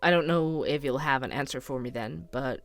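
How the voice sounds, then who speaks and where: A man's voice: mid-range voice, fairly quick, fairly bright tone, natural voice. A man playing a curious, earnest investigative podcaster in an audio drama, with a polite, questioning way of talking and music behind him. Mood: polite, careful. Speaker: podcast host investigating a case. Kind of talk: audio fiction dialogue.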